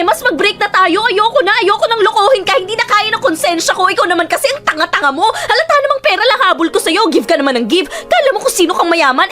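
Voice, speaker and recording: A woman's voice: extremely high pitch, bright, forceful tone, exaggerated voice. An actress playing a weary, conflicted young woman scammer who talks bluntly and defensively. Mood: contemptuous. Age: young adult. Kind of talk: radio drama acting.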